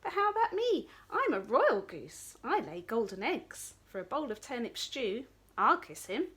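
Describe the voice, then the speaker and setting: A woman's voice: fairly high pitch, big pitch swings, measured pace, a bit bright. A woman voicing an eager, cheerful servant goose with a boastful manner.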